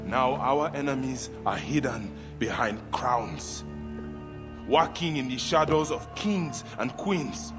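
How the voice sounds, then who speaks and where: An Egyptian warrior father in a game drama. He is earnest and declamatory, with very lively intonation, and music swells behind him. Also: medium pitch, slow pace. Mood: solemn, rousing. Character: passionate grieving Egyptian warrior father, solemn brotherhood leader. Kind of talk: dramatic video game acting. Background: background music.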